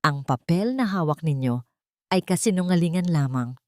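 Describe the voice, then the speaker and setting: A woman narrator voicing a calm, sharp-tongued wronged wife in an audio drama, with a cutting delivery. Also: deep voice, normal speed, no room sound, clean studio sound.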